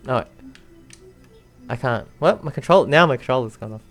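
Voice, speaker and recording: Gamer with a creaky voice, chatting while playing. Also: medium pitch, animated, normal speed, soft background music. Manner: talks to self and viewers, exclaims, giggles often. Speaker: let's play video host. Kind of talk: casual gaming commentary, reacting while playing.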